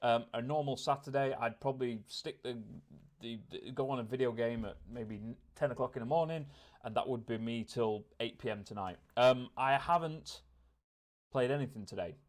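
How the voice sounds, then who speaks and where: A man's voice: mid-range voice, moderate pace. Hesitant man thinking aloud in a vlog. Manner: hesitant, rambling, repeats words while thinking aloud. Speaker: vlogger. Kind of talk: casual vlog talk to camera, self-reflective.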